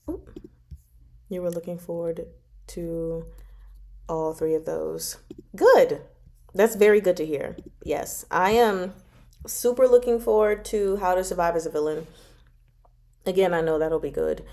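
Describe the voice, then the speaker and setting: A woman chatting casually as a livestream host, informal and expressive, drawing out words for emphasis as she answers viewers. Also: medium pitch. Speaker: host of a book club livestream. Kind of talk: casual chat, reading and answering viewer comments.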